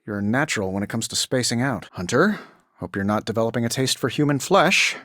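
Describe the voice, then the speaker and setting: An actor playing a cocky genius billionaire superhero in a video game, with an American accent and a glib, sarcastic, wry delivery. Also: male voice, medium pitch, expressive, fairly quick, fairly bright tone, no room sound, clean studio sound.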